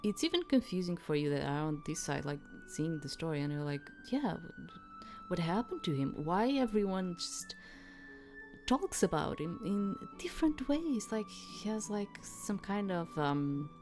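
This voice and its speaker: A low-pitched woman streams a game and thinks aloud as she goes. Her delivery is casual and rambling, full of hesitations, with soft music running underneath. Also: very animated, normal speed. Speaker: game streamer, let's player.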